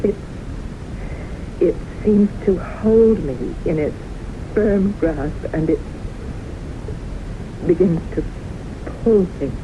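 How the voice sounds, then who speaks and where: An actress playing a haunted, earnest woman in a 1970s radio drama, speaking with halting, deliberate intensity. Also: on the low side. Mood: haunted, slow. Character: haunted, earnest woman convinced death pursues her. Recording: noisy, muffled 1970s radio broadcast recording.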